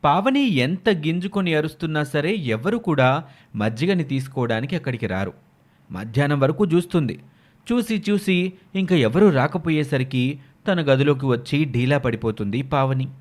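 A man's voice: on the high side, animated, moderate pace. A man narrating a scripted moral story as a dramatic storyteller.

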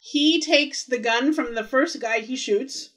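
A woman's voice: high voice, measured pace, a bit bright. A woman co-hosting a podcast, chatting casually as she walks through details of a TV episode. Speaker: podcast co-host. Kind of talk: casual recap chat about a TV episode.

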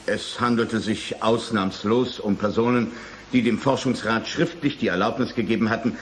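An actor playing a matter-of-fact, unapologetic surgeon who speaks with clinical precision in a German radio drama. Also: male voice, fairly low pitch, fairly even intonation, normal speed, a little hiss or hum, lightly acted. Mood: composed, clinical.

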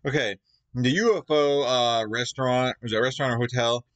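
A man's voice: medium pitch, steady tone, brisk, a bit bright. A man in his forties from Canada, reacting enthusiastically at home on a desk mic. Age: 40s. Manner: enthusiastic, exclamatory. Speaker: reaction video host. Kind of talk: casual commentary. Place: home room, indoors.

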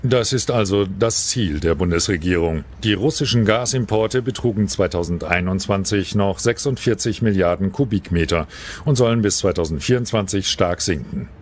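A man narrating a scripted TV news documentary in a measured, even delivery. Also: deep voice, a little hiss or hum.

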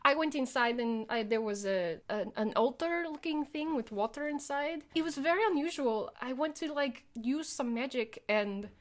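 Higher-voiced woman playing a tabletop game. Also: moderate pace, hardly any pauses. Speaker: player in a tabletop roleplaying game. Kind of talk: casual in-character game chat.

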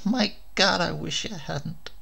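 A man's voice: fairly high pitch, slow pace, gravelly, bright, forceful tone, slightly rough, pitched above the performer's own voice, exaggerated voice. A man voicing a lonely, worn-down housewife in a story, wistful and dazed. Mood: dully reproachful. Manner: dull, forlorn, strange.

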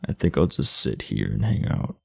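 A man playing an aloof, irritable, sleepy teenage delinquent in an ASMR roleplay, blunt, sardonic and guarded, with a low, gravelly voice. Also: sing-song, normal speed, no echo, clean studio sound, exaggerated voice. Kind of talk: ASMR roleplay, speaking to the listener.

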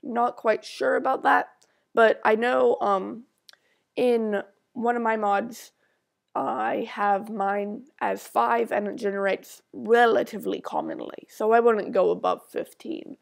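Slow, gravelly woman with a stuffy nose. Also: on the high side, fairly even intonation. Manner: talks slowly while typing code, thinking aloud. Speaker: tutorial maker. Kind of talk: screencast coding tutorial.

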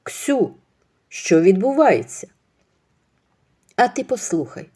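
A woman's voice: mid-range voice, very animated, slow pace, mellow, husky, heavily acted. A woman voicing a stern, curt young husband confronting his mother. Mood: puzzled.

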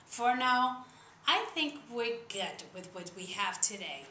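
A young woman with a Spanish accent chats straight to her camera in earnest, slightly uneven English. Her voice is bright and forward. The sound is roomy, with a faint hum behind her in a small room. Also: on the high side, sing-song, slow pace. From Spain. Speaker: singer-songwriter vlogger. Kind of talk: casual talking-to-camera vlog.

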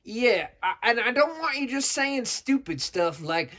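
A man's voice: extremely high pitch, big pitch swings, a little slow, pushed and bright, exaggerated voice. A man voicing a bossy, proud comic puppet character with a Southern US accent and a folksy, scolding manner. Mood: indignant.

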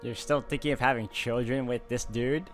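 A man's voice: mid-range voice, steady tone, moderate pace. Man reading a visual novel over music. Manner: dry, joking asides. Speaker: game walkthrough host. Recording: game music behind.

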